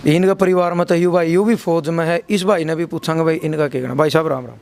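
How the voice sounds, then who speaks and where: Male field reporter, Haryanvi accent, fairly high voice, repetitive summaries, interview style. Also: fairly even intonation, fast talker, creaky, a little mellow, slight background noise. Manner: repetitive, addresses listeners as brother. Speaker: local reporter interviewing a family. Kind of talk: on-location interview with spoken summaries.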